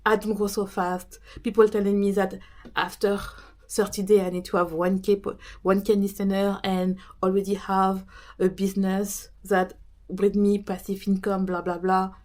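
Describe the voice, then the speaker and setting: A woman with a French accent, a native French speaker, talking casually about consistency as a podcast host and coach, often pausing and restarting as she searches for words. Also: medium pitch, little pitch movement, a little mellow. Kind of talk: casual talk to camera.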